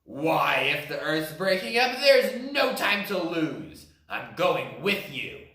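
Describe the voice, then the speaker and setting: A man voicing a huge drowsy elephant in a storybook, speaking in a loud rumble. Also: big pitch swings, slow, heavily acted. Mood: surprised. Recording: echoey room.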